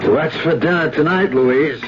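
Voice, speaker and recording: Actor as a breezy film agent, muffled radio. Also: male voice, a little high, measured pace, over loud music, lightly acted. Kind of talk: 1970s radio drama acting. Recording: muffled 1970s radio broadcast.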